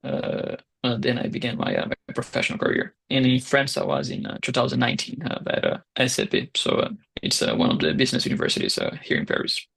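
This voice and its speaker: A young man in his twenties from Brazil, a Portuguese speaker, answering interview questions in a hesitant way with many pauses and restarts. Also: mid-range voice, huge pitch swings, creaky, a bit bright, slightly rough. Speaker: guest founder.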